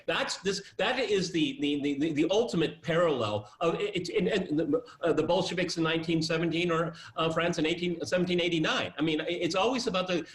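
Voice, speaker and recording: A male talk show host with a fairly high voice, speaking from a clearly echoey room. He is insistent, jumping in and restating his point several times in a political discussion. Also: a bit bright. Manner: insistent, interjecting, repeats himself.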